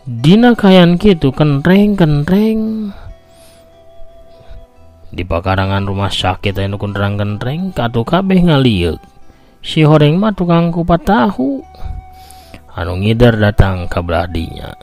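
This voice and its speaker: A man's voice: a little high, sing-song, unhurried, pauses often, a little mellow. A man with a Sundanese accent, working as a storyteller and host, speaking in a folksy, chatty way. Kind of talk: Sundanese storytelling and chatty reading of listener comments. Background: background music.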